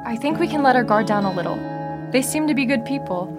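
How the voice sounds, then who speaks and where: A young survivor's daughter played by a woman in an audio drama, with a fairly high voice. She sounds earnest and trusting, gently making her case. Music is clearly audible behind her. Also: animated, fast, natural voice. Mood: earnest, hopeful. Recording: music under the voice.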